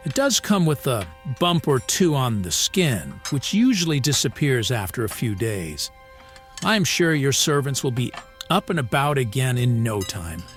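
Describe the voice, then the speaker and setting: A medieval barber-surgeon, played by a man, pious and dismissive, with a mid-pitched, very lively voice. He sounds formal and assured, as in a historical audiobook. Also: a little slow, faint music behind. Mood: reassuring.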